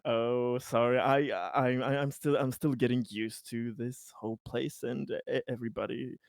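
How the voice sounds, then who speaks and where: A man improvising a glib, self-assured political schemer in a roleplaying game, halting and repeating himself as he placates people. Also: medium pitch, steady tone, vocal fry, dry recording, clean studio sound, natural voice. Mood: blustering, amused.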